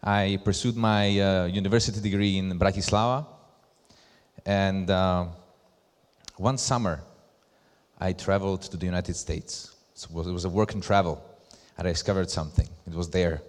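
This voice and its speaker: A man from Slovakia with a Slovak accent, giving a conference presentation as a brand ambassador in deliberate, emphatic short phrases. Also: low voice, steady tone. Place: large hall at a live event. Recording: echoey hall with long reverb.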